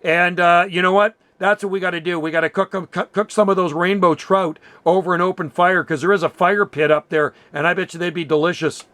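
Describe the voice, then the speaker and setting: A man's voice: high-pitched, fairly even intonation, a little fast, few pauses, a bit bright, dry recording. A man from Canada chatting enthusiastically and casually as an amateur radio operator.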